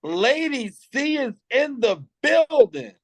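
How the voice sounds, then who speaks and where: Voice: high-pitched, expressive, takes it slowly, gravelly, no echo. A show host opening an interview with an enthusiastic, announcer-style introduction and friendly questions.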